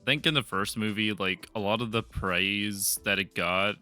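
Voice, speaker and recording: Young male co-host, low but bright voice, dry and skeptical, faint music. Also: moderate pace. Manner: skeptical, dry, mockingly quotes others. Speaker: podcast co-host. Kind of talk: casual chat.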